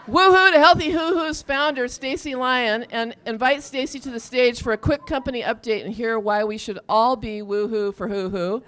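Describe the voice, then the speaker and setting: A woman's voice: on the high side, moderate pace, hardly any pauses, fairly bright tone. A woman hosting a live event from the stage, casually coaxing the crowd in a playful way. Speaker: event host. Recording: live event with audience noise. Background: faint crowd.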